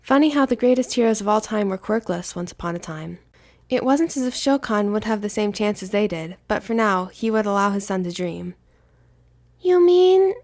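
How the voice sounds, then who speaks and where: A woman's voice: mid-range voice, fairly quick, darkish tone. A woman reading scripted fiction aloud as the narrator of an audio story.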